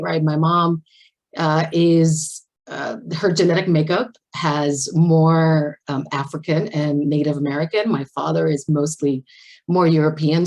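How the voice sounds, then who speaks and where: Woman, 40s, from Puerto Rico, guest speaker; low-ish voice, stumbling restarts, moved. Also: a little mellow. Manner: emotional, halting, with frequent restarts and laughs. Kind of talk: personal talk at a virtual symposium. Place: home at a video call. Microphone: video call mic.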